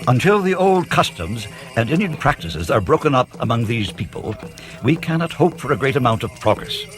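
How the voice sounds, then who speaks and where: Man voicing a stern disapproving official, formal condemning delivery, lively intonation, faint music behind. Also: medium pitch, normal speed, lightly acted. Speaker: voice actor reading a historical official's statement. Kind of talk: documentary dramatic reading. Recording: archival documentary clip with background music.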